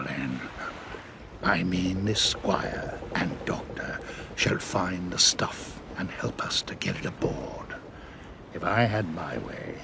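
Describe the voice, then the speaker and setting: A menacing pirate ringleader in an audio drama, feigning gentility in old sailor's dialect. The voice is low and full of gravel. Clear background noise runs behind it. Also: male voice, full character voice. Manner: old seafaring dialect, wheedling and threatening by turns. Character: cunning, menacing pirate ringleader, falsely genteel.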